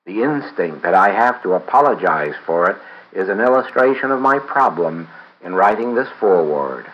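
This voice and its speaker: A man reading a spiritual book aloud in a measured, deliberate way, pausing often between phrases. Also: low voice, lively intonation, muffled recording. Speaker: reader of a book. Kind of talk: read-aloud audiobook of a spiritual text.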